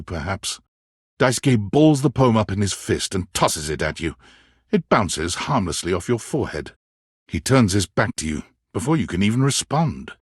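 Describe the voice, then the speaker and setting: A man playing a wry, dramatic storyteller who narrates a romance video game in measured second person. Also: on the low side, slightly rough, no room sound, studio quality.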